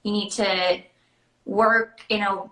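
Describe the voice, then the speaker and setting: A woman's voice: mid-range voice, level tone, slow, sharp tone, echoey room, low-quality recording. A woman hosting a casual live chat, explaining things informally as she answers viewer questions. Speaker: children's party princess performer and live-stream host.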